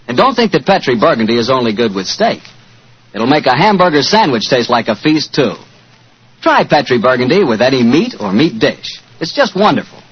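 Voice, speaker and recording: An American man working as announcer and pitchman in 1940s radio style, with a folksy, salesman-like manner. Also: husky. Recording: muffled 1940s radio broadcast recording.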